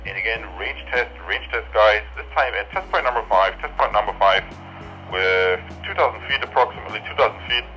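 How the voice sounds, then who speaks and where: Bright-voiced man over walkie-talkie radio. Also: on the low side, brisk, dull audio. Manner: repeats phrases for the test. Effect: heard through walkie-talkie radio. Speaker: product reviewer. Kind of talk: walkie-talkie range test commentary. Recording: band-limited walkie-talkie radio sound, music behind.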